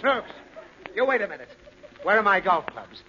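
A man's voice: high voice, big pitch swings, normal speed. An actor playing a harried, exasperated father in 1930s radio comedy, blustering and whining. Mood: frantic. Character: harried, exasperated father, quick to threaten spankings. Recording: dull, noisy 1930s radio recording.

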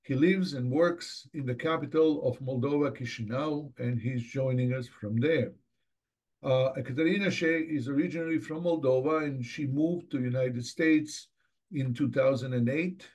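Middle-aged guy hosting an online seminar, reading a formal intro, mid-pitched voice. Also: moderate pace, a little mellow. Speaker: seminar moderator. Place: indoors, office, on a video call.

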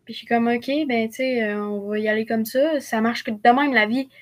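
A woman's voice: fairly high pitch, steady tone, fairly quick. A young woman with a Quebec French accent, telling things in a slangy, casual way on a group video call. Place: indoors at home, on a video call.

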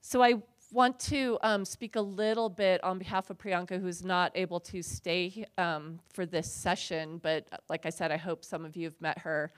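A woman, a board president, introducing herself on a conference panel in a relaxed, conversational way with many fillers, on a handheld mic. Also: lowish voice, normal speed, hardly any pauses, clean tone. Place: conference hall on stage.

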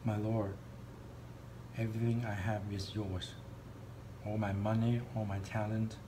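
A man's voice: lowish voice, level tone, noisy background. Earnest man, native Cantonese speaker. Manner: earnest, simple and slow-paced. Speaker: Sunday school teacher. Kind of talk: children's church lesson. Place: outdoors in a park and a living room.

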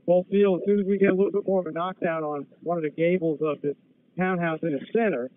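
A man's voice: high-pitched, fairly even intonation, fast. A man working as a fire operations officer, giving urgent, procedural radio traffic over a two-way radio. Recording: narrow tinny radio channel with noise and clicks.

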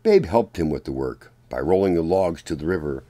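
A man reading a children's story aloud in a deliberate, expressive storytelling manner. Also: rumbling low. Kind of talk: read-aloud storybook narration.

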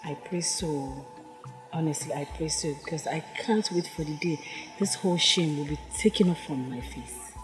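A troubled young Nigerian woman , acted by a woman in a film drama. Her voice is low, with an earnest, pleading manner, and music plays faintly behind it. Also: moderate pace, lightly acted. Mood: hopeful, earnest. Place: outdoors among trees.

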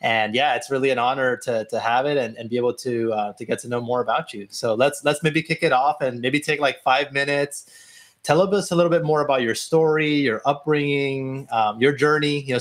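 A man's voice: mid-range voice, a bit bright. Young man hosting an interview. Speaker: host. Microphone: headset on a video call.

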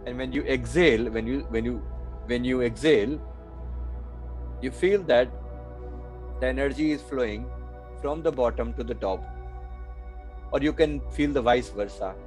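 A man's voice: medium pitch, normal speed, pauses often, a little mellow, soft background music. A man giving guided breathing meditation instructions, repeating short phrases as he goes.

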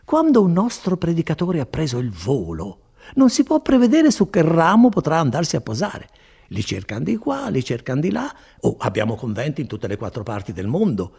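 Man acting a storybook friar, folksy, chatty, consoling, high-pitched, creaky. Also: mellow, exaggerated voice. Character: chatty, placid old-fashioned friar, folksy and evasive. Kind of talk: dramatized audiobook reading.

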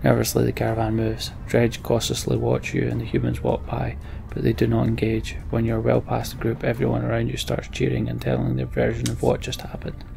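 Very creaky male Let's Play host. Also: medium pitch, monotone, a little fast, dark tone, slight background noise, rough recording. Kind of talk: casual game commentary and story read-aloud.

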